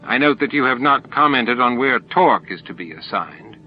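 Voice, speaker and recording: A man's voice: mid-range voice, expressive, normal speed, lo-fi sound, soft background music, lightly acted. A man voicing a challenging alien council member with a dry, pointed, formal way of speaking. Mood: dry, sardonic.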